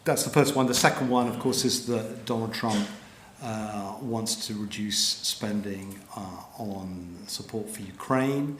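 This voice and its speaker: A middle-aged man with a British accent, a journalist giving a measured panel talk with frequent ums and restarts. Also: on the low side, a bit bright, slight background noise. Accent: British English. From Britain. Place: lecture hall. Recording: echoey hall with faint ambience.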